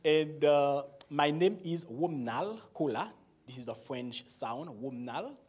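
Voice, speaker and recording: A man in his forties with a Haitian accent, a native Creole speaker, giving a slow, laughing talk to a church as a guest pastor. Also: a little high, expressive. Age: 40s. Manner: slow, warm and laughing, with frequent pauses.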